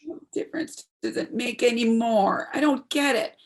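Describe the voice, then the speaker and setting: A woman's voice: a little high, normal speed, close to an everyday voice. A woman playing an earnest, troubled daughter in her twenties in a stage drama, speaking in a heartfelt, pleading way. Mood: anguished. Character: earnest, troubled 26-year-old daughter, medical student. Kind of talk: stage play dialogue, audio performance.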